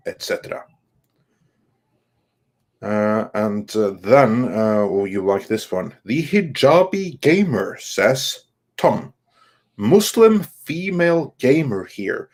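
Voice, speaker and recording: A man co-hosting a live stream, reading viewer comments aloud in a halting way and responding casually. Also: medium pitch. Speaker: co-host reading viewer messages.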